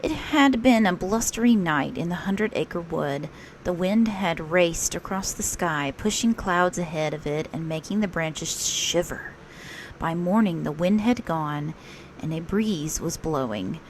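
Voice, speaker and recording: A woman reading a children's picture book aloud as a storytelling teacher, expressive and unhurried. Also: lowish voice, slight background noise, poor audio.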